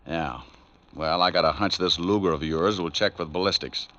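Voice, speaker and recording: An actor playing a wisecracking, hard-boiled private detective in a 1940s radio drama, glib and sardonic. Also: male voice, low-pitched, normal speed, bright, forceful tone. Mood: tough, needling. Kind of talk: 1940s radio detective acting with first-person narration. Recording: dull vintage radio recording, faint music.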